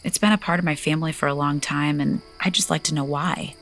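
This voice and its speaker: A restless, curious young princess. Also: female voice, deep voice, steady tone, quick pace, soft background music. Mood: confiding. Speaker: supporting character. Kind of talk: audio drama acting.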